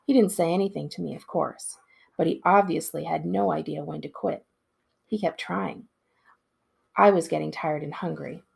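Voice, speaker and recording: A woman, a school librarian, giving an expressive read-aloud of a storybook in a narrator's style. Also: low voice, moderate pace, vocal fry, husky.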